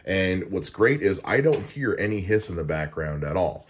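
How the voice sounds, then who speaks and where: Deep-voiced man casually demoing studio gear. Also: normal speed. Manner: relaxed and explanatory, with frequent "uh" fillers. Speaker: podcast host. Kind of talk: casual gear unboxing walkthrough. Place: home podcast studio. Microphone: dynamic broadcast studio mic.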